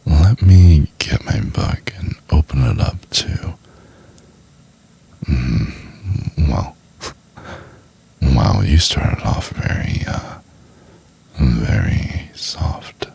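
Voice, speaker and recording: A man playing a doting, teasing boyfriend in an intimate ASMR roleplay, murmuring in a husky, halting half-whisper. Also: very deep voice, very animated, dark tone, heavily acted. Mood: flustered, resisting. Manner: slow, halting, murmured delivery with pauses. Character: doting, teasing boyfriend trying to study for exam.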